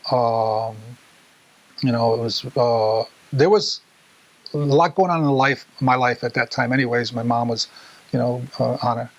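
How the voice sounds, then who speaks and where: A middle-aged man halting and hesitating often as he casually recalls personal experience. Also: medium pitch, fairly even intonation, rough recording. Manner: halting, hesitant, many fillers. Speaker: interviewee.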